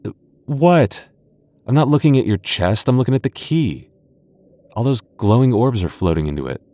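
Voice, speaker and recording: A man's voice: medium pitch, animated, moderate pace, warm tone, no room sound, close to an everyday voice. A man voicing a playful, teasing young goth boyfriend in an ASMR roleplay, often stammering and chuckling. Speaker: voice actor.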